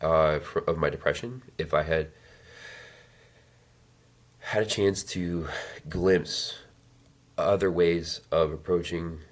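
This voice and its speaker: A man in his thirties telling his life story as an interview guest, halting with many fillers and restarts. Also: sits low, fairly even intonation. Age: 30s. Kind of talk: reflective personal storytelling.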